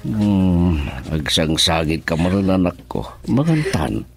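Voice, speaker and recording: A man's voice: sits low, lively intonation, takes it slowly, faint music behind, heavily acted. An actor playing a patient middle-aged father with an Ilocano accent in a radio drama. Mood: consoling, concerned. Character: patient, consoling older father. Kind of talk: Filipino radio drama acting.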